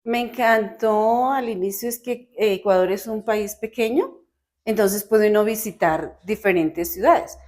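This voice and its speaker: A woman from Bogotá with a Colombian accent chats as a podcast guest. She is animated and talkative, laughing easily and correcting herself as she goes. Her voice sits at a middle pitch. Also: a little slow. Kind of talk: casual chat.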